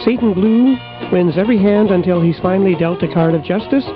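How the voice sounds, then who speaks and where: A man's voice: high voice, brisk. A man hosting an old-time radio program, reading a scripted, welcoming introduction. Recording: muffled sound with music behind.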